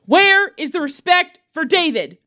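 A woman's voice: very high-pitched, lively intonation, unhurried, bright, forceful tone, no echo, clean studio sound. A young woman co-hosting a casual comedy podcast, blunt and emphatic with plenty of swearing. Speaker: podcast co-host.

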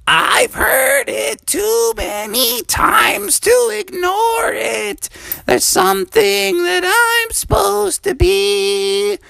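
A man voicing a cheerful mother frog in a high falsetto for a children's story. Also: wildly swooping pitch, slow, vocal fry, few pauses, sharp tone, no room sound, exaggerated voice. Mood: earnest. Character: cheerful, funky mommy frog.

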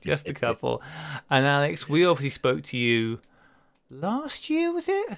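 A man's voice: on the high side, wildly swooping pitch, unhurried, vocal fry, fairly bright tone, no room sound. A man co-hosting a casual video-call podcast, teasing guests and laughing often. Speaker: podcast co-host. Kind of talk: casual chat.

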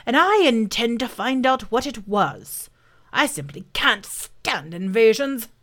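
A woman's voice: a little high, sing-song, slow, fairly bright tone, pitched above the performer's own voice, full character voice. A woman voicing a suspicious, self-important wild boar in a storybook, speaking in an emphatic, officious way. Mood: indignant.

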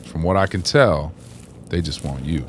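A man's voice: a bass voice, normal speed, a little hiss or hum. A man playing a hard-bitten, secretive soldier in a radio drama, talking in blunt, folksy warnings. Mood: grim, insistent.

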